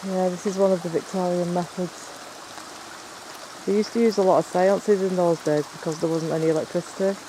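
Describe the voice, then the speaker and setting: A man's voice: high-pitched, steady tone, fairly quick, background noise. A man chatting casually while investigating the woods at night, rambling and explaining as he goes. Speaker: paranormal investigator filming. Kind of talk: casual on-location chat. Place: woods by a stream at night, in rain.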